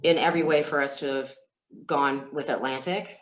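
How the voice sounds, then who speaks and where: A woman who is a singer telling stories as an interview guest, speaking casually and candidly in a halting, reflective way. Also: a bass voice, steady tone. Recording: roomy, echoey sound.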